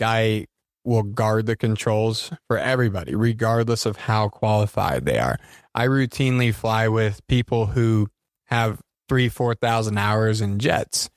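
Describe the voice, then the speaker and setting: A man co-hosting a podcast in casual chat, a flight instructor who talks in a relaxed, joking way with many fillers. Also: fairly low pitch, unhurried, dry recording, clean studio sound.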